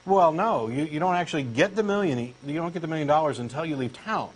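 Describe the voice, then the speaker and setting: Brief: Texan man, earnest and pushy, bouncy fairly high delivery, faint hiss behind. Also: animated, fast talker, rough recording. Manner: eager, insistent true believer, earnest and pushy. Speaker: co-host doing a comic dramatic reading. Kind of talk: comic scripted dialogue reading.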